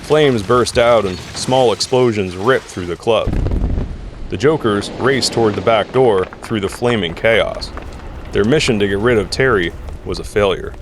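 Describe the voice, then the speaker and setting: A man's voice: lowish voice, measured pace, noisy background. A man reading dramatic scripted narration for an action audio drama.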